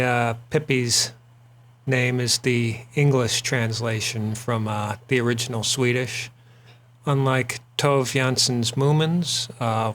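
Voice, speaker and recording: A man hosts a drawing show, talking while he sketches. His pitch sits in the middle, and he speaks slowly in a relaxed, rambling way, filling pauses with "uh". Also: slight background noise. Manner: relaxed and rambling, frequent "uh" fillers. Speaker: artist and host.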